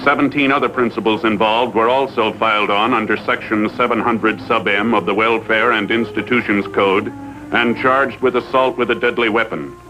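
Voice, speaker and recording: A man playing a solemn official American announcer, reading in formal, measured 1950s TV style. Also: fairly low pitch, steady tone, music in the background, close to an everyday voice, low-quality recording.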